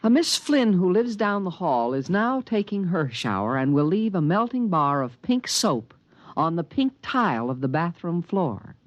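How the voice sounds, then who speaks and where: A man's voice: sits high, sing-song, moderate pace, no echo, muffled recording. A man playing an earnest, fussy alien guardian in a 1950s radio drama, speaking in precise, formal, solemn pronouncements. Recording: vintage radio recording, faint music.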